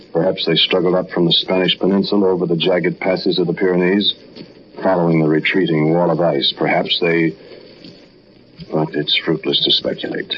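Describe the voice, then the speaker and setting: An actor playing a haunted man who narrates an eerie tale in a 1940s radio drama, with slow, dramatic, deliberate delivery. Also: low voice, lo-fi sound, a little hiss or hum, natural voice. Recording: band-limited 1940s radio recording, music behind.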